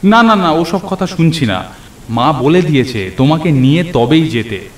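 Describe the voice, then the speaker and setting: A man's voice: fairly high pitch, animated, moderate pace, slight background noise, lightly acted. A man playing a young nephew who narrates a horror cartoon story in a dramatic way. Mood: easy, affectionate. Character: young nephew narrating his night of terror. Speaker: narrator and character. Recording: roomy echo, faint rain and thunder.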